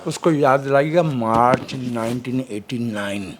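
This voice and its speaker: A man's voice: medium pitch, a little slow. Courteous man with ornate phrasing. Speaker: panelist. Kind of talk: casual political talk. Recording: faint background noise.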